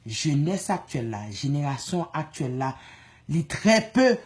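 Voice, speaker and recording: Fervent Haitian woman, lowish voice. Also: sing-song, a little slow, a little mellow. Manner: fervent, repetitive listing, mixing in Creole words. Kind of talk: impassioned political talk.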